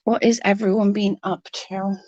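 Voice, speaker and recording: A woman's voice: medium pitch, level tone, moderate pace, dark tone, no echo, lo-fi sound. A woman with a blocked-up, snotty voice that is starting to go, chatting casually with viewers on her livestream and greeting them by name. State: congested, coughing, voice going. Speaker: livestream host. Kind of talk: casual chat with live viewers.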